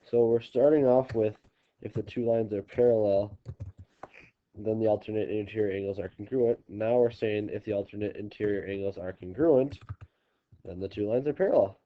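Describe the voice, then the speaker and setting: Stuffy-nosed male teacher, lowish voice, halting explanations, dull home recording. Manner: halting, restarting explanations with many ums. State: congested, stuffy nose. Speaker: geometry teacher. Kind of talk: recorded classroom video lesson.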